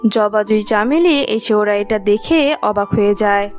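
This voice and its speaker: A woman narrator reading a scripted animated story. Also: medium pitch, unhurried, soft background music.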